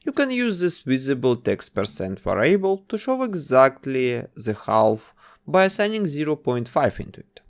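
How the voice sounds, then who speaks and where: Man, coding tutorial narration, step-by-step instructions, pitch rising and falling a lot. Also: sing-song, normal speed. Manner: instructive, gives plain commands one step at a time. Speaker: game development tutor.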